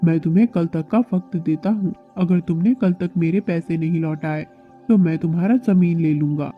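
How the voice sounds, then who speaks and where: A man voices a callous crow moneylender in a children's cartoon. He is demanding and impatient, in a somewhat high male voice. The recording is narrow and phone-like, with faint music behind. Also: steady tone, moderate pace, lightly acted. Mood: threatening, dismissive. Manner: demanding, dismissive. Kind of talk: Hindi children's cartoon dialogue. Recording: thin phone-like audio with music underneath.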